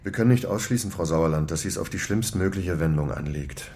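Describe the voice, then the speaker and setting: An actor playing a cool German security official in a radio play, formal and lecturing. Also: male voice, deep voice, a little fast, darkish tone. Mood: measured.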